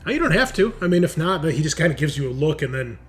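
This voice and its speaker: A man's voice: on the high side, very animated, rapid-fire, faint music behind. A man narrating a tabletop roleplaying game as game master, explaining scenes and rules in a conversational way. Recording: home recording with clear background noise.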